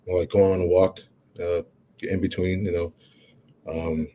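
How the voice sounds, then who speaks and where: A young man, a college football player, answering interview questions in a halting way, with many "you know" fillers and restarts. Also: sits low, level tone, warm tone. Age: young adult.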